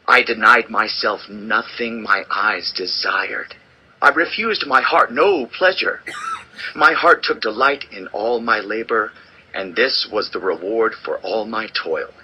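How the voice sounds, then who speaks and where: A man's voice: on the low side, highs cut off, slight background noise, lightly acted. An actor playing a world-weary, wise old king in a solemn, theatrical dramatized scripture reading. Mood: reflective, grand. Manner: solemn, deliberate, theatrical delivery. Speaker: audio Bible narrator and actor.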